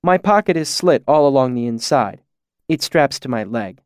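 A man's voice: medium pitch, animated, normal speed, clean tone, dry recording, studio quality, close to an everyday voice. A man voicing a poised young woman who teases in a wry, matter-of-fact way.

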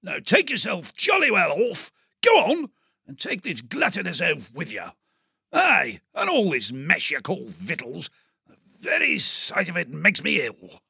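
A man's voice: very high-pitched, huge pitch swings, unhurried, a bit bright, slightly rough, dry recording, studio quality, pitched above the performer's own voice, full character voice. A man voicing a blustering, pompous old male hare colonel who speaks in indignant outbursts. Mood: outraged. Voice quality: fussy and indignant. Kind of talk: audiobook character voice.

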